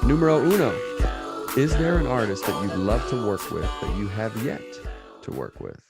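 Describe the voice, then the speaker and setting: A man's voice: on the low side, big pitch swings, moderate pace, creaky, over music. An American man in his thirties hosting a casual interview podcast. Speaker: podcast host. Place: indoors, plain room, on video call. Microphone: desk microphone with headphones.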